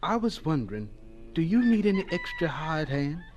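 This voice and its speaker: An actor playing a humble, earnest man in an audio drama, gentle and deferential in manner. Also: fairly high pitch, sing-song, moderate pace, dull audio, soft background music. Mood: eager, deferential. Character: humble, earnest scientist recalling his hopeful, polite younger self.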